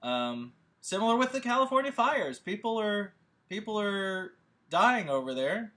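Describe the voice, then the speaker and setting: A man speaking informally to an audience as a host and writer, in a rambling, animated and emphatic way. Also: sits high, a little slow. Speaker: host and scriptwriter introducing a table read.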